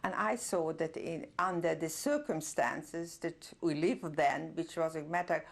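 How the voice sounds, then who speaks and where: An older woman whose first language is German, giving a reflective oral history testimony with many pauses and soft laughs. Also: deep voice, gravelly. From Czernowitz, Bukovina. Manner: reflective, pausing often with fillers, soft laughs. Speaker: interviewee, Holocaust survivor.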